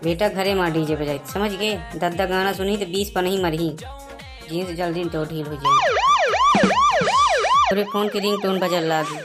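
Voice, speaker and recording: A man playing a put-upon, grumbling village man in a comic cartoon, with a Bagheli accent and a pitched-up voice. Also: steady tone, normal speed, long pauses, over loud music. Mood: scolding.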